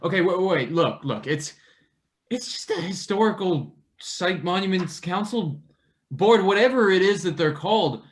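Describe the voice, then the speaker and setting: An actor playing an exasperated, desperate young intern in a theatre table read, halting and protesting with some sarcasm. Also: mid-range voice, expressive, lightly acted.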